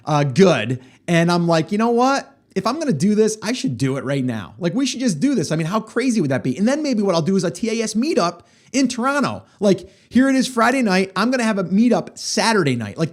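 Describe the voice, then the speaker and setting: Man, middle-aged, hoarse voice, slightly high pitch, casual podcast host, rambling storyteller. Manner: chatty storytelling, many fillers. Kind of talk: casual solo podcast monologue.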